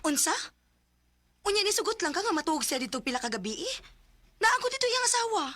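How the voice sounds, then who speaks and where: High-voiced, skeptical Cebuano friend. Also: female voice, big pitch swings, quick pace, sharp tone, no room sound, soft background music, heavily acted. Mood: suspicious, scolding. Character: skeptical, nosy, outspoken female friend. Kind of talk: Filipino radio drama acting.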